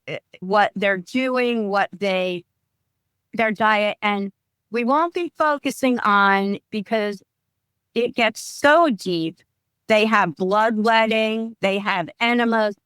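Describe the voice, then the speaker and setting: Woman in her 50s, wellness coach guest, smooth voice, keeps restarting sentences. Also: fairly high pitch, a bit bright, no room sound. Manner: chatty, restarts sentences often. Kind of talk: podcast interview. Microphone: remote call.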